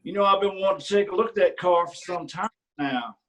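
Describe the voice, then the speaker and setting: An actor reading the part of a prying county sheriff in a staged play reading, drawling and falsely friendly. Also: male voice, high-pitched, fairly quick, fairly bright tone. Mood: smug. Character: rural county sheriff, middle-aged man, prying and smug.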